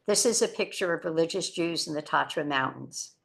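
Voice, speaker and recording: A woman Holocaust educator, giving an earnest lecture that tells rescue stories and drops quietly at somber moments. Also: sits low, brisk, creaky. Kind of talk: lecture presentation.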